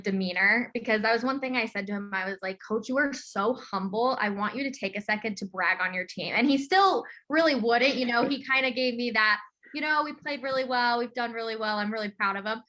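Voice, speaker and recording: A woman's voice: fairly high pitch, a little fast, bright, forceful tone. A woman co-hosting a sports podcast in casual, informal interview chat. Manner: informal, conversational. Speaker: podcast co-host.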